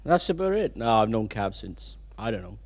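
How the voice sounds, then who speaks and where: A man's voice: lowish voice, very animated, normal speed. A man, a band's rhythm guitarist, answering in a casual interview in a laconic, offhand way. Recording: distant mic.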